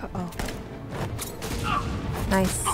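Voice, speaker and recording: A woman's voice: extremely slow, music in the background. A woman co-hosting a reaction show in casual chat, with short, offhand interjections.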